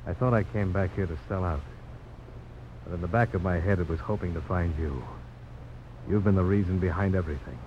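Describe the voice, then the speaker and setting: A low, grave male voice on a noisy, muffled old broadcast. A man plays a resolute frontier adventurer, weary and earnest, speaking in measured lines in the manner of 1950s radio drama. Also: natural voice.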